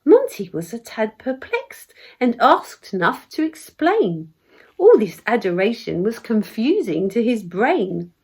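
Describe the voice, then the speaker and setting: Slow, very expressive southern English woman storyteller. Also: a little high, breathy edge. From Essex, England. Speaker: poet reading own work. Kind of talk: expressive storybook verse narration.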